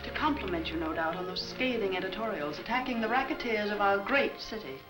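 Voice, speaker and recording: Fast-talking, sarcastic secretary played by an actress. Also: female voice, medium pitch, lively intonation, natural voice, rough recording. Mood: wry, businesslike. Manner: dry, sarcastic. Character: tart, efficient female newspaper secretary, sarcastic. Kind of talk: 1940s film serial acting. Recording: old film soundtrack with music behind.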